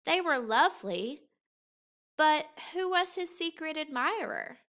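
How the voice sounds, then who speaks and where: A woman reads a picture book for young children. Her voice is fairly high and bright, and she takes her time, shaping each phrase expressively and deliberately. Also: animated. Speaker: teacher reading a picture book aloud. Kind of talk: expressive children's read-aloud storytelling.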